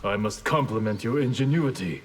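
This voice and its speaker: A man's voice: fairly low pitch, expressive, brisk, close to an everyday voice. Man as a stern ninja mentor in a reverberant space. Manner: grave, admonishing. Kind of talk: video game fight banter. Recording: reverberant game audio.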